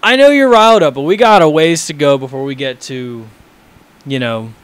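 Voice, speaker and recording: A man's voice: a little high, normal speed, a bit bright, clean tone, dry recording. A young man co-hosting a casual sports podcast, teasing his partner and swearing. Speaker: podcast co-host.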